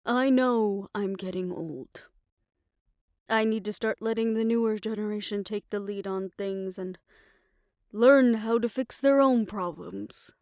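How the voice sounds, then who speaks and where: A woman plays an aging, proud female fixer who is worn down but fond of her lover. She speaks slowly and softly with wry understatement, slipping toward a whisper. The sound is dry and intimate, as in an audio roleplay drama. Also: mid-range voice, clear, resonant tone, no echo, close to an everyday voice. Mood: weary, resigned.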